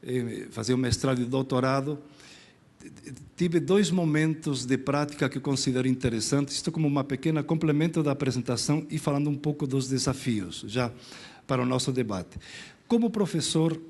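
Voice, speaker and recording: A middle-aged man with a Spanish accent speaks from a conference stage. His voice sits at an ordinary male pitch, and he talks in a relaxed, rambling way, poking fun at himself and restarting his sentences. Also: a little fast. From Spain. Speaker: lecturer. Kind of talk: conference talk.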